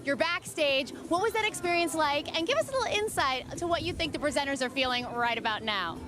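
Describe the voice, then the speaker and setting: A woman interviewing a guest at a live event, bubbly and excited. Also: high-pitched, a little fast, over music. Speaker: correspondent, interviewer.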